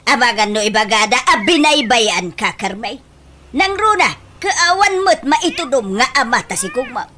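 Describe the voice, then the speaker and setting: A woman's voice: on the high side, expressive, normal speed, pushed and bright. A woman playing a nosy older village auntie in an Ilocano comedy radio drama. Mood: scolding, shocked.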